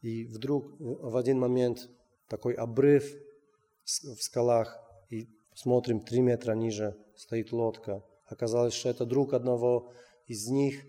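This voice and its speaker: A man telling a missionary testimony, halting with many restarts. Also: medium pitch, steady tone, warm tone. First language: Russian. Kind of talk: personal testimony. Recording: long hall reverb.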